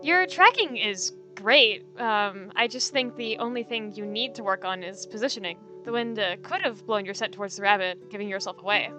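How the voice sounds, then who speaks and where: A woman voicing an anxious, caring she-cat mentor in a story roleplay, gentle, halting and nervous. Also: mid-range voice, soft background music, natural voice. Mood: encouraging.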